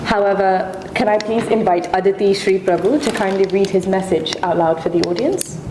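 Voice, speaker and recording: A woman's voice: mid-range voice, normal speed, echoey room, background noise. A woman hosting a launch event, introducing speakers with polite, formal invitations. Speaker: event host.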